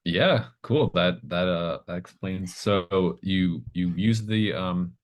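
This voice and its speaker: Deep-voiced, easygoing male game master. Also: slow pace. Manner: easygoing, encouraging. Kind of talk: casual game facilitation.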